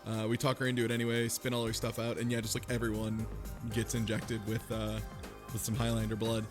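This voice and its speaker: A man's voice: fairly low pitch, monotone, a little fast, over music. A man co-hosting a casual chat, recapping a story in a blunt, profane, sarcastic way. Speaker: podcast co-host.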